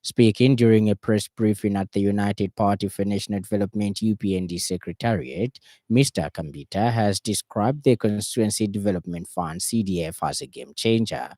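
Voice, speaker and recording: A man's voice: on the low side, mellow, dry recording, studio quality. A man with a Zambian accent reading a formal radio news bulletin as a news reader.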